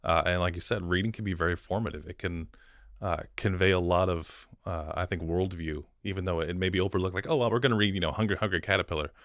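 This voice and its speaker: A man's voice: low-pitched, quick pace, a bit bright, no echo, clean studio sound. An American man co-hosting a conversational podcast interview. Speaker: podcast co-host.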